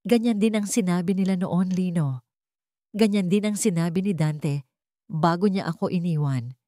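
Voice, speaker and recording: A woman's voice: fairly low pitch, moderate pace, dark tone, dry recording, clean studio sound, natural voice. A woman voicing a bitter, defiant, hurt mother in a radio-style drama, with emphatic, accusing delivery. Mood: bitter, sarcastic.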